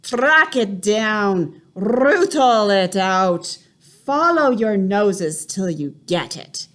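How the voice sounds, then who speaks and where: A woman voicing an imperious, furious female witch leader who shouts slow, booming commands. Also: lightly acted. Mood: commanding.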